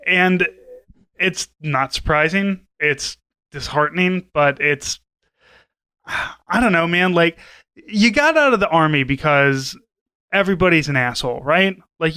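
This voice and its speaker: A man's voice: fairly high pitch, moderate pace, bright, forceful tone, clean tone, dry recording. A man co-hosting a podcast, giving casual, opinionated commentary in a profane, sardonic way. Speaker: podcast co-host.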